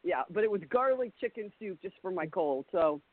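A woman guest chatting on a radio show, congested from a cold, lively and chatty. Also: mid-range voice, fairly quick, no echo. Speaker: guest, former wrestler. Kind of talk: casual radio chat. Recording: phone-like line.

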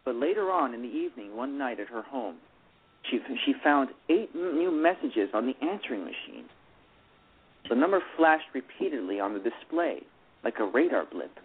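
A husky man reading a radio play aloud who stumbles over his words and often starts phrases again. His pitch moves a lot, and he comes through a thin phone line. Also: big pitch swings, moderate pace. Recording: tinny phone-line sound.

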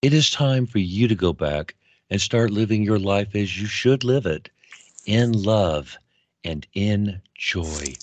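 Male actor as wise fatherly guide in fantasy audio drama: very low, unhurried, gentle, reassuring. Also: lively intonation, dry recording. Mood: gentle, kindly. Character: calm, wise, fatherly mystery man guiding souls on a train.

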